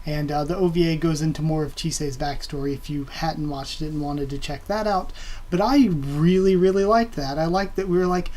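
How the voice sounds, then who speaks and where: A host reviewing an anime episode in a casual, rambling way, their voice a bit raspy and quiet from a cold. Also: medium pitch, moderate pace. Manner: rambling and casual, with many fillers and blunt swearing.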